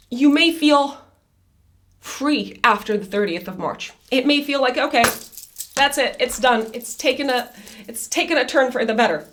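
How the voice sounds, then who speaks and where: A woman reading a monthly horoscope in a chatty, loose way, her voice raspy after an illness. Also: fairly high pitch, fairly bright tone. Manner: chatty, loose, with many fillers and restarts. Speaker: astrologer.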